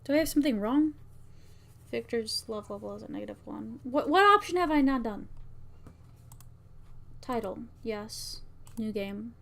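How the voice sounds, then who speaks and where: A woman's voice: a little high, animated, normal speed, vocal fry, pauses often, fairly bright tone. A woman livestreaming casually, thinking aloud and muttering to herself as she tests her own game. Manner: muttering to herself, reading out lines as she works. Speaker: VTuber streamer and game maker. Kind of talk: casual livestream, thinking aloud while testing a visual novel.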